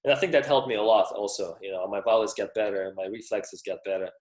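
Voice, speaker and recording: Low-voiced man, echoey room. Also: quick pace. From Russia. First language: Russian. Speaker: guest, professional tennis player. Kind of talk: casual interview talk.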